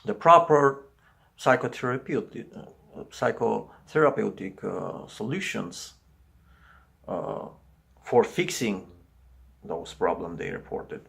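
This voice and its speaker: Romanian guy talking english, slow and halting, gravelly lowish voice. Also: animated, fairly bright tone. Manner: halting, searching for words. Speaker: video commentator. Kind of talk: solo video commentary.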